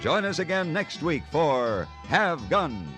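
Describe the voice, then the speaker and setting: A man's voice: fairly high pitch, sing-song, slow. A man reading scripted credits as a 1950s radio announcer. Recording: vintage radio recording with music.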